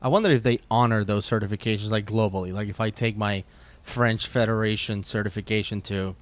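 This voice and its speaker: A man hosting a casual chat, animated and quick to jump in with questions. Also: fairly low pitch, dry recording. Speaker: host.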